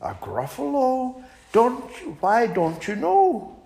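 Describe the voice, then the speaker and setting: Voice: medium pitch, huge pitch swings, slow, creaky, a little mellow, pitched above the performer's own voice, exaggerated voice. A storyteller voicing a small, clever mouse in a children's story who talks politely and boastfully.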